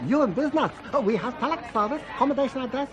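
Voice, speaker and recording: A man's voice: very high-pitched, animated, very fast, lo-fi sound, crowd noise. A man playing a pushy Singapore street tout in a radio drama, speaking broken English in a salesman's patter. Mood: wheedling. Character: pushy Singapore street tout, wheedling, quick to turn hostile.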